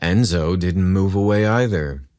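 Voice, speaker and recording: A man narrating a scripted romantic story in an intimate, unhurried way. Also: sits low, darkish tone, no echo, studio quality.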